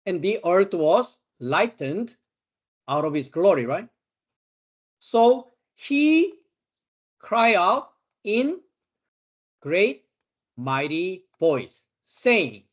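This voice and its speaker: A man with a Korean accent, a Bible teacher giving a study lecture, slowly and emphatically repeating key words. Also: high voice, lo-fi sound.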